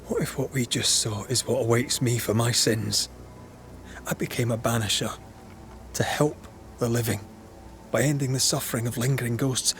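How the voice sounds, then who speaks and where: An actor playing a brooding, guilt-ridden banisher of ghosts in a video game, earnest and understated. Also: male voice, mid-range voice, moderate pace, fairly bright tone, faint music behind, close to an everyday voice. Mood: anguished doubt. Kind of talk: naturalistic game drama acting.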